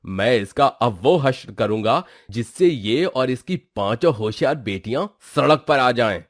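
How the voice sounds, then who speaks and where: A man acts out a spiteful village schemer for a Hindi moral tale. His delivery swings widely in pitch, stern and plotting, in a dry, close recording. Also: animated, unhurried, dry recording, natural voice. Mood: spiteful, menacing. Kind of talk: Hindi moral story voice acting.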